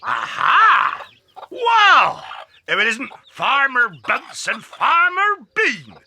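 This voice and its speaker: An actor playing a loud, greedy, bad-tempered fat farmer with a husky, strained voice in a dramatized children's story. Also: male voice, very high-pitched, huge pitch swings, a little hiss or hum, heavily acted. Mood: irritable bluster. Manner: blustering, bad-tempered, slow and emphatic. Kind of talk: dramatized children's audiobook acting.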